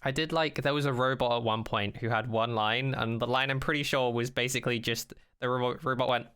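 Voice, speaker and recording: A man co-hosting a podcast in casual, opinionated chat, animated and sardonic, with a mock-dramatic streak. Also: medium pitch, fairly quick, smooth. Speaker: podcast co-host.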